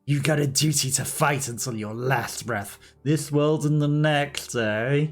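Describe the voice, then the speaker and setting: Man doing a gruff vulgar old grandma. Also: a little high, unhurried, soft background music, pitched above the performer's own voice. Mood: stern. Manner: blunt, scolding.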